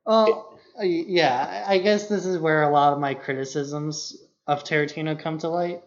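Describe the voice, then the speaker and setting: A man's voice: on the high side, moderate pace. A man co-hosting a podcast, discussing films casually in an analytical, critical way, often peppering his speech with "like". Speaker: podcast co-host. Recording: slightly dull lo-fi podcast audio.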